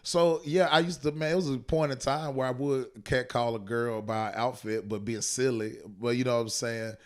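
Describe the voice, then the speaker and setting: A man's voice: medium pitch, steady tone, normal speed. A man in his late thirties, a comedian chatting casually on a podcast and telling loose, slangy stories full of asides. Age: 30s. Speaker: guest comedian.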